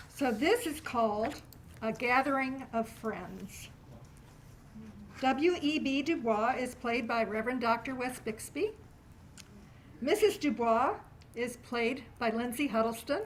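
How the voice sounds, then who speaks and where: A woman's voice: a little high, rough recording. A woman, speaking live to an audience as the host introducing a performance. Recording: live room with faint background noise.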